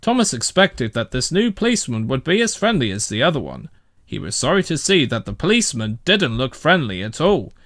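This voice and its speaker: A man's voice: mid-range voice, very animated, a little fast, fairly bright tone, no echo, clean studio sound. A man narrating a children's story from a script as a storybook reader.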